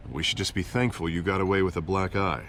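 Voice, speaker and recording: A man playing an affable, confident public official and loyal friend in a video game, smooth-talking and reassuring. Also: low-pitched, steady tone, fast, fairly bright tone, a little hiss or hum. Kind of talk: dramatic video game dialogue.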